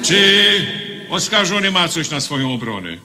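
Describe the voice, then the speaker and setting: An actor playing a stern, pompous military judge in a radio drama, formal and declamatory. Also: male voice, fairly high pitch, big pitch swings, moderate pace, a loud crowd. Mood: solemn, severe. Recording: long hall reverb.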